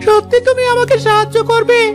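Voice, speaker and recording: Cartoon flying foal: naive, plaintive, timid child voice, extremely high, over prominent music. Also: female voice, little pitch movement, fairly quick. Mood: timid, hurt. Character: small, naive, fearful young flying horse foal. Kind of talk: Bengali fairy-tale cartoon voice acting.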